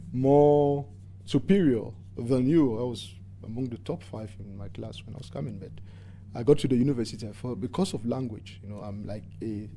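A man with a Cameroonian accent speaks in an interview in a warm, dark voice at a middle pitch. He is earnest and halting, repeating words for emphasis. Some light noise sits behind him. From English-speaking Cameroon. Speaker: environmental activist and interviewee. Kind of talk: conversational interview answers.

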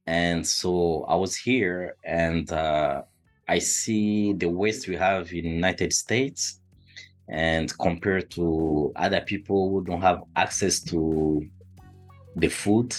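A man's voice: low voice, fairly even intonation, slow, faint music behind. A middle-aged man from Congo, not a native English speaker, answering interview questions with many hesitations and restarts. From Democratic Republic of the Congo. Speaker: food shelf supervisor.

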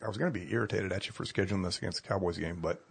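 Hoarse pastor guy, real low husky voice, chatty and animated. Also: rapid-fire. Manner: chatty, animated storytelling with laughs. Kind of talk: casual chat.

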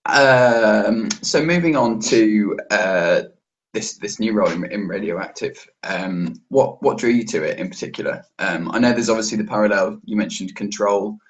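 Interviewer, man, low-ish voice, halting questions, muffled lo-fi recording. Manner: hesitant, many ums and restarts. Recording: dull lo-fi line.

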